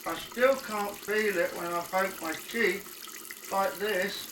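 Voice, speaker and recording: Man playing slow, whiny, childish husband. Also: sits high, bright, forceful tone, a little hiss or hum, heavily acted, poor audio. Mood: plaintive, childish. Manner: plaintive, babyish, sulking. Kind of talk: domestic audio comedy drama acting.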